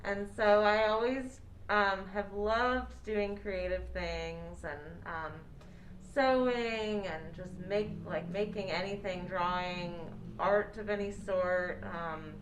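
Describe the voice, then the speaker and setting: A woman's voice: medium pitch, takes it slowly, a little hiss or hum, low-quality recording. A woman founder speaking as an interview guest in a casual, personal storytelling style.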